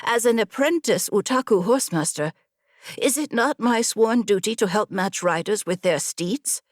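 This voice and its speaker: A woman's voice: medium pitch, lively intonation, moderate pace, no room sound, clean studio sound, lightly acted. Woman voicing a nagging, loyal young horse apprentice. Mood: wry, loyal. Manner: exasperated, wry, pestering. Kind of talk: audiobook character dialogue.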